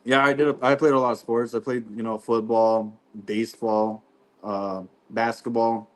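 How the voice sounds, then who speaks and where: A man, a barbecue pitmaster guest, talking in a relaxed, rambling way and saying "you know what I mean" often. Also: mid-range voice, normal speed. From Texas. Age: 20s. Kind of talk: casual podcast interview.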